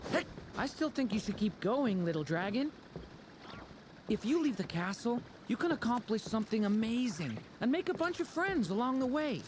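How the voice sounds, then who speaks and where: A woman voicing a young, earnest adventurer in a game, expressive and encouraging. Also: fairly high pitch, moderate pace, noisy background. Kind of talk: game character dialogue.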